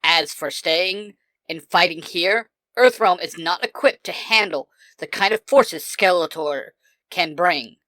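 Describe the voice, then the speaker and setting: A woman voicing a grave, weary older male warrior mentor in a fan fiction story, speaking in an earnest, measured way. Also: lowish voice, a bit bright, no echo, pitched above the performer's own voice. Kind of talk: dramatised fan fiction dialogue.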